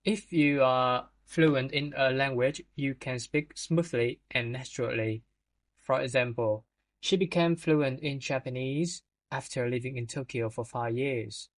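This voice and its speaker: A man's voice: medium pitch, steady tone, a little slow. Guy reading vocab definitions for a podcast lesson, trips on a word now and then. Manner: reads definitions with small stumbles. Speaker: podcast co-host.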